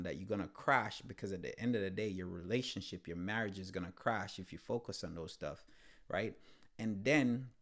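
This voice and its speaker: A man co-hosting a casual podcast with his wife, laid-back and halting, often repeating words as he starts. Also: on the low side. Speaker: co-host.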